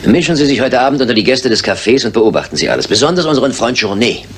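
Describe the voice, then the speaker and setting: An actor playing a calm, shrewd master detective, wry and confident, in a German radio crime drama. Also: male voice, medium pitch, quick pace, a little hiss or hum, natural voice.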